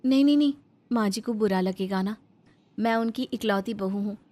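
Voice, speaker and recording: A woman's voice: mid-range voice, moderate pace, dark tone, close to an everyday voice. A woman voicing a pampered, sweet-talking young daughter-in-law in a melodramatic moral-story cartoon, coaxing and meekly placating.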